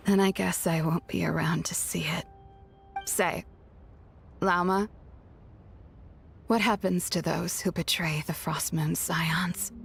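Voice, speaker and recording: An actress playing a proud, defiant turncoat woman in a video game, speaking in a hushed, measured and detached way. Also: fairly low pitch, steady tone, vocal fry, soft background music. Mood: solemn, resigned. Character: calm defiant woman, a turncoat leaving her sect, bitterly proud.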